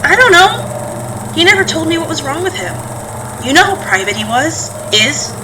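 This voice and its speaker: A woman's voice: very high-pitched, very animated, moderate pace. A woman playing a guarded, impatient daughter and sister in a naturalistic audio drama, with terse, prodding, conversational delivery. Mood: matter-of-fact. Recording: distant from mic, clear background noise.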